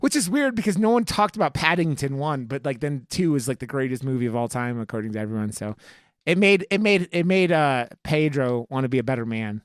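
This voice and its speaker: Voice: mid-range voice, animated, fairly quick, no echo, clean studio sound. A podcast host chatting casually about movies in an emphatic, rambling, swear-heavy way. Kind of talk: casual chat, movie discussion.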